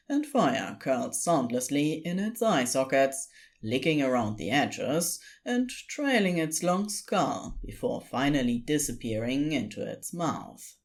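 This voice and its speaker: A narrator reading a scripted story in a deliberate, expressive storytelling manner. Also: medium pitch.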